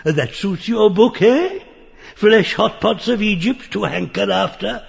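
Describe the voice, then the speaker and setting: A man playing a grotesque, parrot-like old pedant, leering and excitable, with a nasal croak and a pompous lecturing manner in a theatrical audiobook play. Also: high-pitched, unhurried, in a large hall, dull audio, heavily acted. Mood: lecherous lecturing. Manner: pompous learned lecturing, full of crowing outbursts. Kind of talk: theatrical audiobook acting of a surreal stage play.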